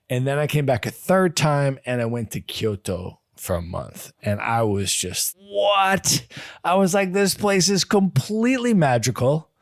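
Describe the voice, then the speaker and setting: A man's voice: on the high side, dry recording. Enthusiastic male podcast host, dry sound. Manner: enthusiastic, animated storytelling. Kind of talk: conversational interview.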